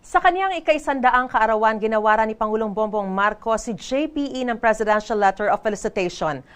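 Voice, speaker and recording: A woman with a Filipino accent reads a scripted news report. Her voice sits fairly high, in a reporter's narration style. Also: animated, fairly quick, clear, resonant tone.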